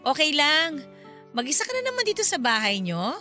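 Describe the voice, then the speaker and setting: A woman playing a chatty, teasing middle-aged neighbor in a radio drama, with a Filipino accent and an animated, gossipy manner. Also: sits high, moderate pace, soft background music, exaggerated voice. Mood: friendly.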